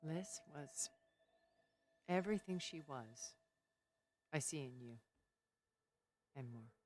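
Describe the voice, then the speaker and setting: An actress playing a poised, worldly immortal woman with a measured, polished delivery in a video game. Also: sits low, expressive, darkish tone, slight background noise. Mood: wistful, reflective. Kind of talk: dramatic video game dialogue. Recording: music behind the voice.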